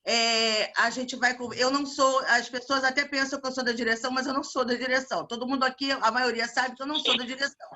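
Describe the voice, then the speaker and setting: High bright woman on a video call, chatty organizer, keeps restarting her sentences. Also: steady tone, quick pace, hardly any pauses. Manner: warm religious farewells, many restarts. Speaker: event organizer and evangelizer. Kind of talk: casual online meeting talk.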